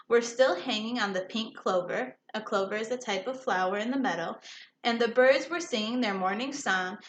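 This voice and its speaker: High-voiced woman teacher reading aloud. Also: fairly bright tone. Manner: instructive, checks understanding often. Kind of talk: read-aloud story with simple explanations and questions. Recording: echoey room with faint noise.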